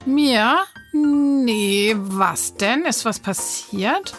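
A woman's voice: fairly high pitch, lively intonation, drawn-out words, faint music behind. A woman interviewing in a conversational children's audio show, prompting and reacting expressively. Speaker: interviewer and host.

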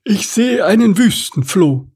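A man's voice: high voice, very animated, unhurried, a little mellow, breathy edge, pitched above the performer's own voice, heavily acted. A man voicing a dutiful, simple-minded ostrich in a children's storybook, announcing things in an earnest, literal way. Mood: eager, earnest.

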